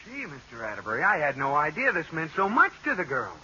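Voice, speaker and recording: An actor playing a breezy, oblivious husband in an old radio comedy. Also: male voice, fairly high pitch, wildly swooping pitch, quick pace. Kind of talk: 1940s-50s radio comedy acting. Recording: very noisy, muffled old radio broadcast.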